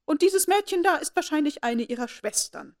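A woman's voice: sits high, lively intonation, moderate pace, darkish tone, pitched above the performer's own voice. A woman voicing a haughty, imperious elderly noblewoman who speaks in a commanding, formal and indignant way. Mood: cold formal.

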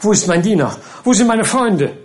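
Male narrator as bewildered elderly gentleman, flustered and confused. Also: mid-range voice, very animated, moderate pace. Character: bewildered older gentleman, just woken.

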